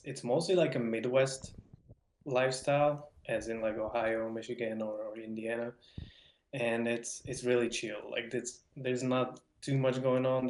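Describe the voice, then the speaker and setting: A man's voice: mid-range voice, a little mellow. A young man from Hungary with a Hungarian accent, chatting casually as a podcast guest and often repeating words. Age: young adult. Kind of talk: casual interview chat. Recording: roomy, echoing voice.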